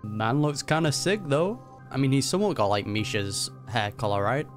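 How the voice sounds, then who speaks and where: A man's voice: mid-range voice, sing-song, normal speed, faint music behind. Laid-back young man reacting to a game. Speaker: gaming video creator reacting to a game story. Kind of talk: casual reaction commentary and reading game text aloud.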